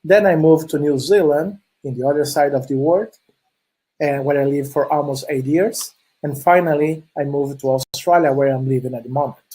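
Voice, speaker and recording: A man's voice: fairly high pitch, dark tone, slightly rough, low-quality recording. A middle-aged man from Chile whose first language is Portuguese, speaking with a Brazilian Portuguese accent. He gives a friendly conference presentation with many filler sounds and restarts. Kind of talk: presentation talk.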